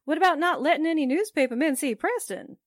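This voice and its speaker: A woman's voice: sits high, animated, quick pace, dry recording, studio quality. An actress playing a perky, cheeky newspaperwoman sidekick in a 1940s radio drama. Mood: perky, skeptical.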